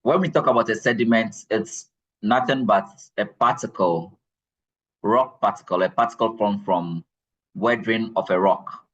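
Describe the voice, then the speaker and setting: Male lecturer, low and breathy, patient and repetitive classroom delivery. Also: moderate pace, fairly bright tone, lo-fi sound. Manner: repeats key points, checks in with "all right?". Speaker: geology lecturer.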